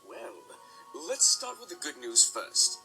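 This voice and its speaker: A man's voice: a little high, huge pitch swings, unhurried, gravelly, bright, forceful tone, faint music behind, full character voice, low-quality recording. An actor playing a formal, stammering pony father in an audio drama. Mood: hesitant, expectant.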